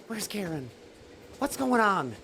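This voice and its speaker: An actor playing a desperate, guilt-ridden middle-aged father and professor in an audio drama, halting and pleading. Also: high-pitched, very animated, sharp tone, a little hiss or hum, heavily acted. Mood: freezing, alarmed.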